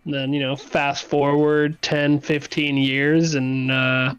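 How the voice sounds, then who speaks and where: A man's voice: a little high, little pitch movement, takes it slowly, a bit bright, smooth, dry recording, lo-fi sound, faint music behind. A man chatting casually with friends in a slangy, profane, joking way.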